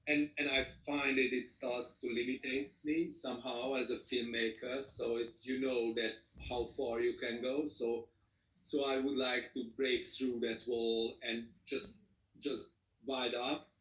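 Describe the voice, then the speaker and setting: A man with a Hungarian accent who speaks English as a second language, answering as a film director in a panel Q&A, halting and repeating words. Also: medium pitch, level tone, a bit bright, far from the mic. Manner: halting, repeats words, non-native phrasing. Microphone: video call. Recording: roomy video call audio from off-mic.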